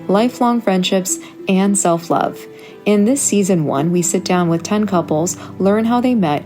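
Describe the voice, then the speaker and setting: A woman hosting a relationship podcast, reading a scripted intro and then interviewing guests in an upbeat, encouraging way. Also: lowish voice. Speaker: podcast host.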